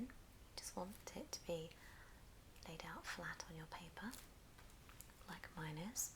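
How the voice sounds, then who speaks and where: A woman hosts an ASMR craft tutorial in a soft, breathy whisper. She goes very slowly and gently, step by step, in a slightly low pitch. The recording has clear background noise with small clicks. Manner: unhurried, gentle step-by-step delivery. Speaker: host of an ASMR craft channel. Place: indoors at a friend's house. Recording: clearly noisy room, clicking sounds.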